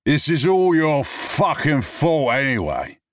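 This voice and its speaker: A man playing a loud, sloppy drunk. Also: mid-range voice, big pitch swings, slow, no echo, clean studio sound, voice pushed up, heavily acted. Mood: drunken rant. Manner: slurred, loud, cocky taunting. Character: drunk, belligerent man, swaying and shouting.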